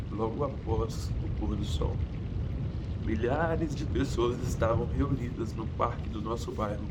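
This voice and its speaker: A man reading a first-person audiobook aloud, stumbling now and then and restarting words. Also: lowish voice. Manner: reads with slips and restarts. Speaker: audiobook narrator. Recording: distant mic, loud background noise.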